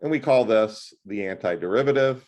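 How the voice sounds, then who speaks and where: Loud, jokey man tutoring math. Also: lowish voice, expressive, a little fast. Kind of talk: casual one-on-one tutoring.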